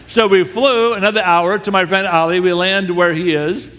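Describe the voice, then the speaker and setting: A man, a missionary, telling a story in a sermon with animated, self-deprecating humor. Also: high voice, moderate pace. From United States. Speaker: missionary speaker. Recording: long hall reverb.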